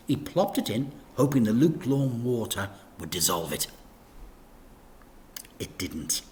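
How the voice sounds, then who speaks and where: Animated man reading a storybook. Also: mid-range voice, unhurried, slight background noise. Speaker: teacher reading stories to children. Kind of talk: expressive storybook narration.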